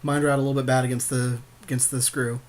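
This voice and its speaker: A man's voice: medium pitch, little pitch movement, fast. A man co-hosting a podcast, casually commentating a card game with a friend in joking, slangy talk with offhand swearing.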